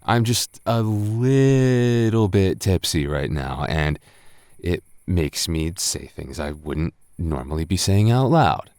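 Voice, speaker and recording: A very low, unhurried young male voice acted by a man plays a tipsy roommate in an intimate roleplay audio. He stammers and rambles, teasing yet flustered and secretly smitten. The sound is close and dry. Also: lively intonation, darkish tone, clear, resonant tone, no room sound, heavily acted. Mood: tipsy, sheepish. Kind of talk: boyfriend roleplay audio acting.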